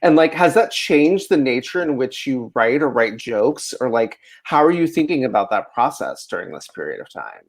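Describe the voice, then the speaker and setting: A man's voice: mid-range voice, sing-song, normal speed, gravelly, few pauses. An American man conducting a casual interview, asking questions in a rambling, hedging way with lots of "like" and "you know.". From rural Ohio, United States.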